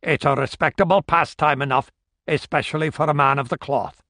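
Reader doing a barkeep, polite, spinning a story, a bit raised, dry close mic. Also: male voice, fairly high pitch, steady tone, fairly quick, vocal fry, pushed and bright, dry recording. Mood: chatty. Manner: deferential, storytelling. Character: friendly middle-aged male Highland barkeep.

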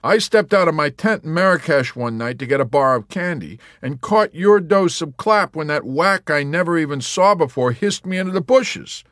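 A man putting on a loud, needling young soldier. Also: fairly high pitch, animated, normal speed, hardly any pauses, no echo, clean studio sound, pitched above the performer's own voice. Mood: aggrieved. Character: sardonic, agitated young soldier in hospital.

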